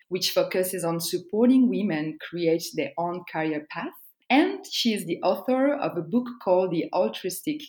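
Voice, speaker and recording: A woman hosting an interview podcast, her voice sitting slightly low. She asks friendly questions and often stumbles over and restarts her phrases. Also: darkish tone. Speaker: podcast host.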